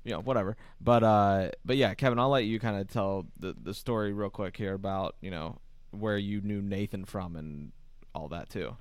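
A man co-hosting a sports podcast, chatting in a relaxed way with lots of "you know" and "uh". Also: fairly low pitch, moderate pace, smooth, no echo. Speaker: podcast co-host. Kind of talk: casual podcast chat.

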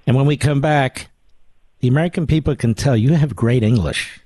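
A man's voice: medium pitch, moderate pace, a little mellow, no echo. A middle-aged man hosting a talk radio show, speaking emphatically, running an interview and reading ads. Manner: emphatic, salesman-like. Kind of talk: interview and ad read.

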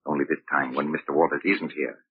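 A man's voice: rumbling low, steady tone, fast, husky, full character voice. An actor playing a bitter, controlling older father in an old-time radio drama, speaking formally with silky, condescending menace. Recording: muffled, lo-fi vintage radio recording.

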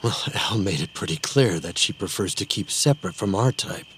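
A man playing a gentle, flustered middle-aged ex-husband in an audio drama, halting, earnest and polite. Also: sits low, expressive, slight background noise. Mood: hesitant, polite. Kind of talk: naturalistic audio drama acting.

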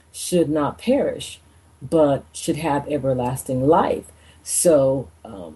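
A woman hosting a radio show as a minister, talking conversationally about faith in a deliberate way with frequent pauses. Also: deep voice, warm tone, slightly rough, low-quality recording. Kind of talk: conversational devotional talk.

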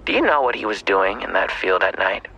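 Menacing killer, audio drama villain, gravelly and forceful, swinging pitch, insinuating, whispery. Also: male voice, big pitch swings, brisk, full character voice, rough recording. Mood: needling. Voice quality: raspy, creaky, breaking into whispers. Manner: taunting, insinuating, probing. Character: menacing, taunting male killer who runs a dark-web market.

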